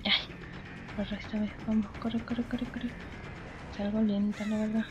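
A woman's voice: medium pitch, little pitch movement, measured pace, highs cut off, music in the background. A woman giving casual gaming commentary, murmuring to herself and dropping in English interjections. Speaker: gaming channel host. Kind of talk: casual horror game commentary. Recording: clearly noisy, game sounds behind. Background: game ambience.

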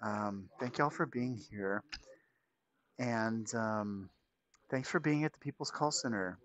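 A man's voice: fairly low pitch, slow pace, fairly bright tone. Rambling, joking man on a livestream. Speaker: guest streamer. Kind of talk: casual livestream chat.

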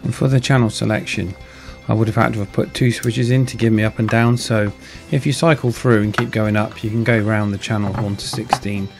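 A man's voice: lowish voice, steady tone, a little fast, few pauses. A man casually narrating his hobby build in a modest, understated way. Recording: music behind the voice. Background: guitar music.

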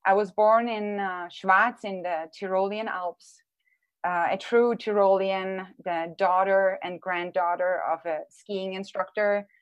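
A woman's voice: mid-range voice, steady tone, unhurried, clear, resonant tone, dry recording, lo-fi sound. A woman in her forties from Austria, giving a casual live talk about her art over a video call, thoughtful, with frequent ums and restarts. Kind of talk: casual presentation. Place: small room at home. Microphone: earphone headset on a video call.

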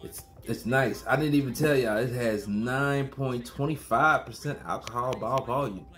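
A man in his thirties talks straight to the camera from a small room at home, loose and slangy, circling back over the same phrases. His pitch sits in the middle for a man. Faint music plays underneath. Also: unhurried, a bit bright. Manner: laid-back, slangy, repeats himself. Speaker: beer review vlogger. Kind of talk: casual beer review to camera.

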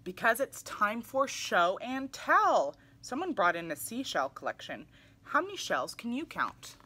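A woman reading a picture book aloud to young children in an animated, exclamatory way. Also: fairly high pitch, unhurried, a little hiss or hum. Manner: animated, exclamatory, with audible gasps. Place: small indoor room, seated in armchair.

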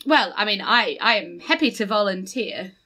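A woman playing a polite, well-meaning bird adventurer with an apologetic, halting manner. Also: medium pitch, expressive, pushed and bright, pitched below the performer's own voice.

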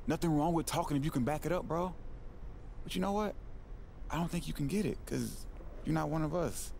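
Cocky, gravelly young influencer. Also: male voice, a little high, a little fast, darkish tone, slight background noise. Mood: mocking, dismissive. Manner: slangy, needling, street-talking. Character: cocky young male social media influencer, scornful and taunting. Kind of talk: naturalistic video game cutscene acting.

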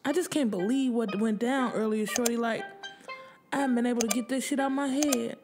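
A woman's voice: on the high side, fairly even intonation, brisk, pitched above the performer's own voice, close to an everyday voice. A woman voicing a restless, streetwise young man in an audiobook, slangy and urgent. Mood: agitated, earnest. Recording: music bed under the voice.

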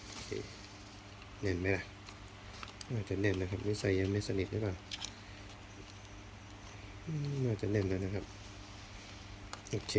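A man's voice: sits low, level tone, a little slow, long pauses. A man giving casual hands-on unboxing commentary, thinking aloud as he explores, with polite phrasing. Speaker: unboxing video host. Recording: clear background noise, rustling and clicking.

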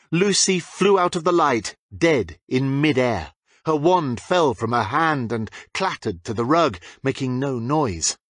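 A man's voice: fairly high pitch, no echo. A man reading a dramatic scripted narration as an audiobook narrator.

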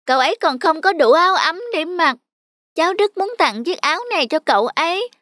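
A woman's voice: very high voice, expressive, brisk, fairly bright tone, dry recording, studio quality. A woman voicing a sweet, lonely little boy in a cartoon, earnest and polite. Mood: wistful. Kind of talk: cartoon voice acting. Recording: music behind the voice.

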